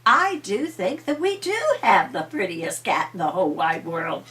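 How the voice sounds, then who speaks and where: A woman's voice: mid-range voice, sing-song, moderate pace, bright, forceful tone. An older woman voicing a folksy, fretful but tender little old lady in a bedtime story. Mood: fond. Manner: folksy, plaintive, emphatic.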